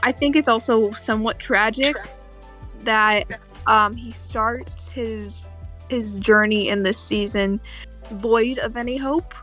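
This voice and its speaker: A woman's voice: a little high, steady tone, slow, bright, forceful tone, soft background music. A woman co-hosting a podcast, talking in a casual fan discussion. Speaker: podcast co-host.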